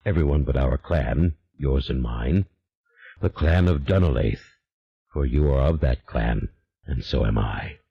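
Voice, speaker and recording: A man voicing an invisible ancient male demon, speaking slowly in a hushed, ominous way. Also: extremely low pitch, creaky, breathy edge, lo-fi sound, pitched below the performer's own voice, full character voice.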